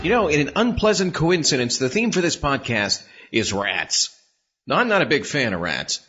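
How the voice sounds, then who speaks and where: A man playing a wry, theatrical horror-podcast host with a raspy voice, reading scripted comic introductions. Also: a little high, sing-song, moderate pace, a bit bright, lo-fi sound, full character voice.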